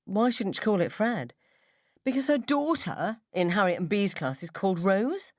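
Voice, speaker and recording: A woman's voice: medium pitch, lively intonation, normal speed, no echo, studio quality, close to an everyday voice. A woman playing a scornful, gossipy mother at the school gates in a radio story, with an emphatic, mocking drawl. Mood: gossipy disdain.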